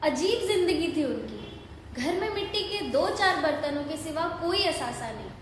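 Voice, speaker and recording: A woman's voice: high-pitched, animated, moderate pace. A woman narrating a scripted story for an audio play. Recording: echoey hall, long reverb, faint noise.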